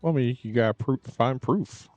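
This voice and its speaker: A woman's voice: extremely low pitch, a little fast, darkish tone, no echo, faint music behind. A woman livestreamer chatting casually over a video game on a close mic. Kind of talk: casual game stream commentary.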